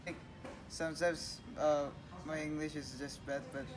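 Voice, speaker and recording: A man's voice: a little high, flat delivery, unhurried. A young man from Indonesia with an Indonesian accent, answering in a modest, easygoing way. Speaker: interviewee. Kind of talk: casual interview answers. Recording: crowd in background.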